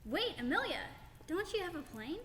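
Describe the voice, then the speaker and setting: A woman's voice: extremely high pitch, sing-song, normal speed, fairly bright tone, exaggerated voice. An actress playing an earnest, bossy big-sister schoolgirl, questioning and emphatic, in a radio play. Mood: curious. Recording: echoey hall, faint background noise.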